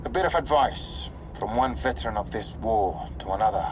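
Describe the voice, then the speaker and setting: An actor playing a dry, sardonic veteran operative giving a mission briefing in a video game, wry and understated. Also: male voice, lowish voice, moderate pace, noisy background, close to an everyday voice. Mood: wry, exasperated. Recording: music playing behind the voice.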